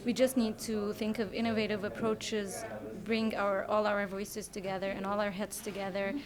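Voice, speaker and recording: A young woman from Egypt, a youth delegate speaking articulately and earnestly, pausing often on "uh". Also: mid-range voice, little pitch movement, a crowd in the background. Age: young adult. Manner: articulate, earnest, with many "uh" pauses. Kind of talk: short prepared statement to camera.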